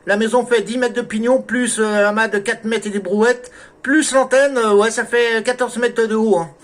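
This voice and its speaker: A man with a high voice talks as an amateur radio operator. His style is casual and conversational, and he often closes his phrases with short tag words. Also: fairly even intonation, normal speed. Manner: frequent "hein" and "là" tags. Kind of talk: casual radio contact chat. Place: at home radio station. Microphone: desk microphone through a mixer.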